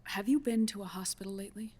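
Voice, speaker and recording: An actress playing a grave, guarded scientist in a video game, measured and solemn, with a resigned air. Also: female voice, mid-range voice, darkish tone, slightly rough, close to an everyday voice. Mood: grave, clinical. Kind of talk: dramatic video game voice acting. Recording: musical score underneath. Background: dramatic game soundtrack music.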